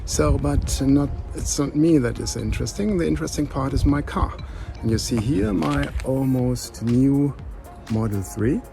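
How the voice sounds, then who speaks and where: A man's voice: mid-range voice, a little slow, gravelly, warm tone, soft background music. A man with a German accent, a driving instructor, talking casually in a video message about his car.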